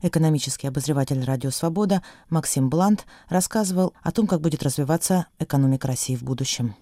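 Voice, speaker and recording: A man reading a news digest as anchor, in an even, formal newsreader delivery. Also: a little high, quick pace, no room sound, studio quality.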